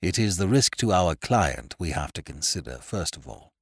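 Man playing a measured, authoritative barrister, dry sound. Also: low-pitched, very animated, breathy edge, no echo, full character voice. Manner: measured, probing, formal. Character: cool, authoritative senior male barrister.

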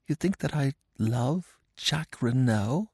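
A man's voice: medium pitch, animated, takes it slowly, a little mellow, slightly rough, no room sound, clean studio sound, voice pushed up, heavily acted. A man voicing a passionate, distraught young woman with a pleading manner in an audiobook. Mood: tender, whispering. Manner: anguished, pleading. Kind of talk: audiobook character dialogue.

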